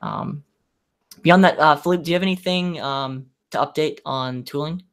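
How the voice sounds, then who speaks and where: Man, gravelly tone, halting filler-heavy delivery, casual tech panel. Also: on the high side, fairly bright tone. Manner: hesitant, many fillers, enthusiastic. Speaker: podcast panelist.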